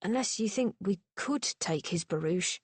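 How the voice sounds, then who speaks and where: A woman's voice: on the low side, animated, normal speed, breathy edge, no echo. Awkward eager young gent, read by a woman, chatty, dry close sound. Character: gawky, awkward young gentleman.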